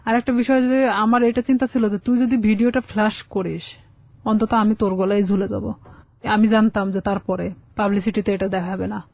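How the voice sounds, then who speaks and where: A woman's voice: medium pitch, fairly even intonation, fast talker, vocal fry, no echo, muffled recording. A young woman with a Bangladeshi Bengali accent telling a personal life story as a guest in a radio interview. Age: young adult.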